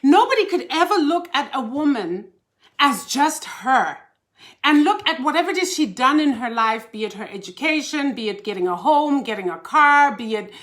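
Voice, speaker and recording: A woman's voice: sits high, big pitch swings, moderate pace, a bit bright. A woman from Zimbabwe, a life coach hosting a casual live stream and chatting animatedly with her viewers, laughing easily. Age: older.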